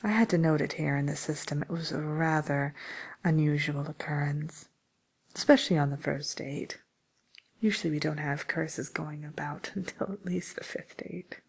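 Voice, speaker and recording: Breathy, whispering matchmaker woman acted out. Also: low voice, steady tone, heavily acted. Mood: tactful, gently amused. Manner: hesitant, gently diplomatic, trailing pauses. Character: polite, tactful matchmaker woman at a villains' dating service. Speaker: ASMR role-play performer. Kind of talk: soft whispered ASMR role play.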